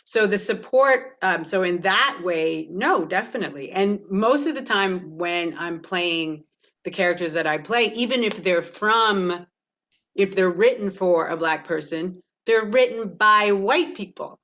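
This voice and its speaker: An actress on an online industry panel, a Canadian woman talking frankly and with animation in a medium-pitched voice, her sound a little muffled by the connection. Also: unhurried. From Toronto, Canada. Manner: animated, laughs often. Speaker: actor and producer, panelist. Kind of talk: candid panel discussion. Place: virtual panel over video call.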